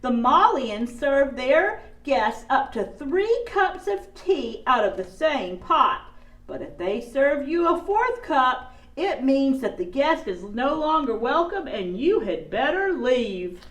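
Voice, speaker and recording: Casting: woman as curious puppet kid, swooping animated delivery, slow pace, children's show skit. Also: sits high, full character voice. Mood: excited. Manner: animated, wide-eyed, slowly spoken. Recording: echoey room, far from the mic.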